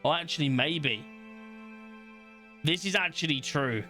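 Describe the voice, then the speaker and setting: Chatty young streamer, bright voice. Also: male voice, a little high, measured pace, faint music behind. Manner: chatty, blunt, talks to his chat, swears casually. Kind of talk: casual livestream chat, reading test questions aloud.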